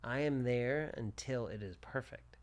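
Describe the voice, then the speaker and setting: Guy in his 40s on a podcast, smooth clear voice, chatty and animated. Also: mid-range voice, measured pace. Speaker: plastic surgeon, podcast co-host. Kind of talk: casual podcast chat.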